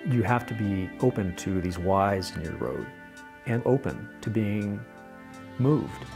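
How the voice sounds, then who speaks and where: Middle-aged man, low voice, measured and reflective, interview in a book-lined study with music under it. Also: animated, vocal fry. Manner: measured, thoughtful, uses figures of speech. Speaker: journalist interviewee. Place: study with bookshelves, indoors.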